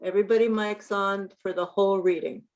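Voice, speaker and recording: A woman's voice: mid-range voice, fairly even intonation, fairly quick, a little mellow. A woman taking part in a play reading, speaking casually as in a group meeting.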